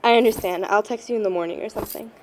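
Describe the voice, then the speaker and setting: A woman's voice: medium pitch, racing, creaky, slight background noise, close to an everyday voice, poor audio. An actress playing a hot-tempered, hurt young woman in an amateur audio drama, with a biting, emotional delivery. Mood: regretful tender.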